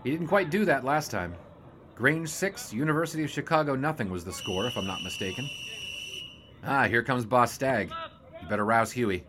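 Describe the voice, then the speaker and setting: An actor playing a wry 1920s newspaper sports reporter with a dry, wisecracking manner. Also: male voice, mid-range voice, expressive, normal speed, noisy background, close to an everyday voice. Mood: knowing. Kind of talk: 1920s period audio drama acting.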